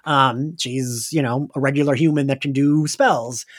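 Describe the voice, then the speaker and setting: A man's voice: medium pitch, fairly even intonation, normal speed. A man casually recapping an episode on a podcast, giggly and a bit meandering as he talks. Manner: giggly, prone to laughing; meandering, hesitant. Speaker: podcast host.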